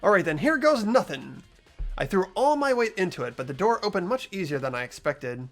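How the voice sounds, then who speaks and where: A man's voice: sits high, sing-song, a little fast. A man voicing an earnest, inquisitive teenage schoolboy who narrates his detective work in a visual novel game. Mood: uneasy, relieved. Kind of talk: acted visual novel dialogue and inner narration. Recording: music behind the voice.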